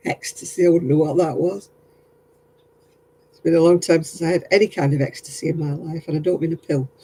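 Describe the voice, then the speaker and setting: A man's voice: on the high side, fairly even intonation, brisk, long pauses, dark tone, slightly rough, low-quality recording. A man chatting casually with viewers while crocheting on a livestream, self-mocking and laughing often. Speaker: craft livestream host.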